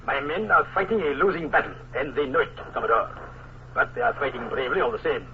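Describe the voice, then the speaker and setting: An actor playing a stern, fatalistic Prussian colonel in an old radio drama, with precise, formal speech. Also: male voice, medium pitch, lightly acted. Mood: grave. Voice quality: precise, formal, deliberate phrasing. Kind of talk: 1950s radio drama acting. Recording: noisy lo-fi old radio recording.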